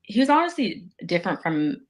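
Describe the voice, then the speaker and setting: A woman's voice: fairly low pitch, sing-song, a little fast. A woman answering as a guest on a live show, rambling with many fillers. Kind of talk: conversational interview answers.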